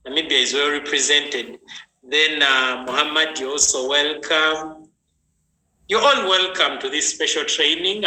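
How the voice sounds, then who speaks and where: A man's voice: mid-range voice, sharp tone. A man hosting an online health training, chatty and greeting viewers by name. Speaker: health session host. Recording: echoey room with faint noise.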